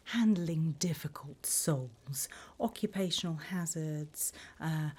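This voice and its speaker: A woman's voice: fairly low pitch, a little mellow, heavily acted. A woman voicing a sly, teasing demon job interviewer from hell in a whispered, mock-formal ASMR roleplay. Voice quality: breathy whisper.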